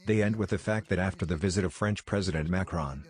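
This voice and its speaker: A man's voice: sits low, quick pace. A man reading scripted political commentary as a voice-over narrator.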